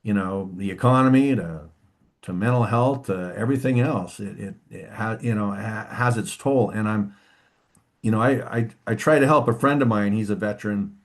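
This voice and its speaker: A man who is a guest on a podcast interview, speaking in a halting, reflective way with frequent restarts and "you know". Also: lowish voice. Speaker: musician guest. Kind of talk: interview conversation.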